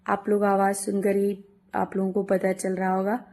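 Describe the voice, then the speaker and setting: A woman in her thirties with a hoarse voice, chatting homely and warmly to her viewers as she narrates a travel vlog. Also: medium pitch, completely flat delivery, normal speed. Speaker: vlogger.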